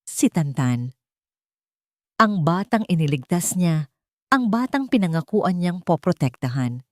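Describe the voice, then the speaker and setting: A woman narrates a romance drama with emotive, dramatic delivery. Her voice sits fairly low. The recording is close and dry. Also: sing-song, measured pace, no room sound.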